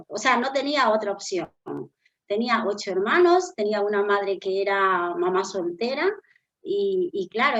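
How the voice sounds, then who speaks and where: A woman's voice: medium pitch, dull audio. A middle-aged woman with a Peruvian accent, a business consultant telling her story earnestly as an interview guest. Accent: Peruvian Spanish. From Peru. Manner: earnest, reflective storytelling with frequent fillers. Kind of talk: interview conversation.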